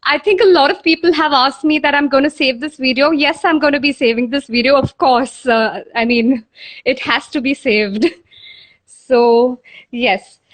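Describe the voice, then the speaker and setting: A woman's voice: sits high, normal speed. A woman hosting a casual live interview, effusive and complimentary, laughing often between questions. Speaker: interviewer, host.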